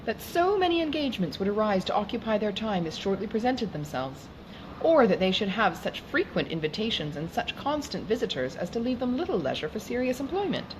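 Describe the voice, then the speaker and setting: A woman reading a classic novel aloud in a measured, formal way, as an audiobook narrator. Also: mid-range voice, big pitch swings. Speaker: audiobook reader. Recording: clearly noisy background.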